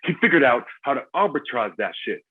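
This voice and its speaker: An actor playing a cocky, foul-mouthed crime boss with swaggering street talk in a screenplay table read. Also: male voice, medium pitch, very animated, fairly quick, husky, narrow, tinny audio. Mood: boastful, menacing.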